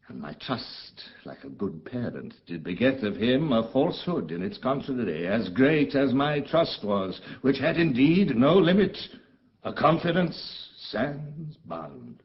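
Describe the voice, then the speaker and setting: A man's voice: mid-range voice, muffled recording, close to an everyday voice. An actor playing an embittered, commanding exiled duke and father in a theatrical, declamatory Shakespearean style. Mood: bitter. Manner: theatrical, grave, declamatory, with dramatic swells and hushes. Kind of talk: classical Shakespearean stage acting.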